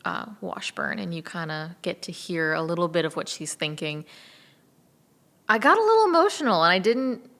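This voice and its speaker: A woman's voice: fairly low pitch, animated, normal speed, a bit bright. A woman chatting casually as a guest, effusive and rambling, with frequent asides. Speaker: actor in an audio drama cast. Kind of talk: casual chat.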